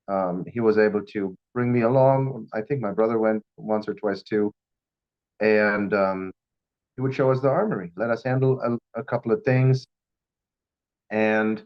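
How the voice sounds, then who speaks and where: A middle-aged German man speaks English with a German accent in a fairly low voice. He gives an informal, personal talk as a guest speaker. The recording is a little dull. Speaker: guest speaker, firearms instructor.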